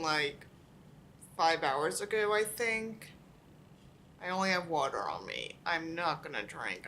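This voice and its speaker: A woman's voice: fairly low pitch, bright, forceful tone, slight background noise. A woman who sounds tired and under the weather, reading aloud and commenting in a casual, sarcastic, weary way. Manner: sardonic, weary, swearing. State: tired, feeling unwell. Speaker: host reading and mocking a book.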